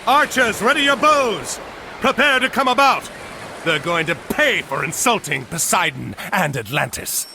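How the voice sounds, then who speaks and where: An actor playing a proud, battle-weary admiral hero in a mythological video game, firm, dignified and commanding. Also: male voice, high voice, big pitch swings, measured pace, vocal fry, bright, forceful tone, a little hiss or hum, full character voice. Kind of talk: video game cutscene acting.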